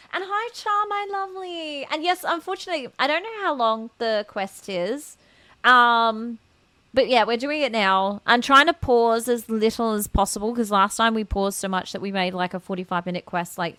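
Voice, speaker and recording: A woman's voice: on the high side, normal speed, sharp tone, dry recording. A young woman streaming a game with casual live commentary, gushing, exclaiming and giggly in manner.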